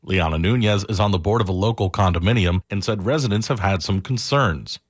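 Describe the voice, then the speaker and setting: A male radio reporter with a fairly low voice. He reads scripted news copy in the style of a local news package. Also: brisk.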